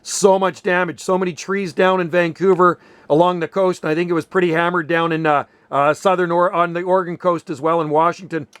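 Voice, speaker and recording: An enthusiastic man in his sixties, chatting casually on the radio and tossing in "man" again and again. His voice is smooth and clear, a little high for a man, and sounds dry and close. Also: fairly even intonation, brisk, fairly bright tone, dry recording. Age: 60s. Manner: enthusiastic, says "man" often. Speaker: ham radio operator, channel host. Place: home on a Pacific Northwest island.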